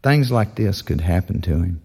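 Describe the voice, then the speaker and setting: A man narrating an audio story like a film pitch, in offhand, clipped fragments. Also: animated, normal speed, darkish tone, slightly rough.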